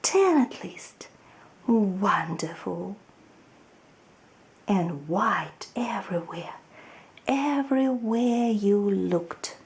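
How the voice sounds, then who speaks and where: A woman narrating a children's storybook aloud in an expressive reading style. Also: medium pitch, extremely slow, long pauses. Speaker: storybook reader.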